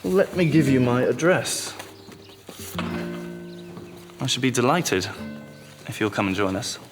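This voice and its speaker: An actor playing a gallant gentleman seducer in period drama dialogue, formal and courteous yet wary and smitten. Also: mid-range voice, sing-song, unhurried, music in the background. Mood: courteous, guarded.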